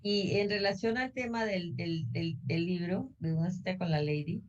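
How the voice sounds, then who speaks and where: A Panamanian woman interviewing an author, asking halting questions with several restarts. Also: fairly low pitch, far from the mic, lo-fi sound.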